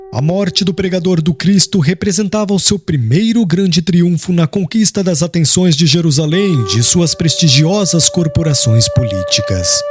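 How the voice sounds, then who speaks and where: A man with a Brazilian accent reading a scripted audiobook narration in a solemn, measured, dramatic manner. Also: fairly high pitch.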